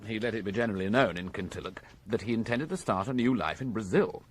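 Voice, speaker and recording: An actor playing a methodical, courteous British police inspector in a radio crime drama, speaking in a measured, formal and precise way. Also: male voice, lowish voice, a little hiss or hum, natural voice. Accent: British English. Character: methodical, courteous male police inspector reporting his findings.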